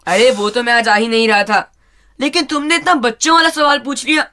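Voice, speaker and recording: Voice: medium pitch, steady tone, a little fast, pushed and bright, close to an everyday voice. A performer voicing a cheeky, boastful childlike sidekick in playful radio show banter.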